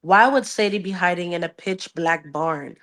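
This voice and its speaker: A woman's voice: on the low side, normal speed, a bit bright. A woman podcast host reading a novel aloud and dropping into casual, blunt banter. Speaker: book club podcast host.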